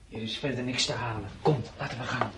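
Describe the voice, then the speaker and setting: Man playing jumpy looter in an old radio play, average pitch, noisy background. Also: steady tone, fast, natural voice. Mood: nervous. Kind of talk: radio drama dialogue.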